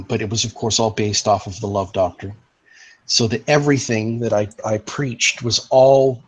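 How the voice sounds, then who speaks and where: A man's voice: medium pitch, a bit bright, poor audio. A man moderating an online voice-chat discussion, explaining in long philosophical sentences.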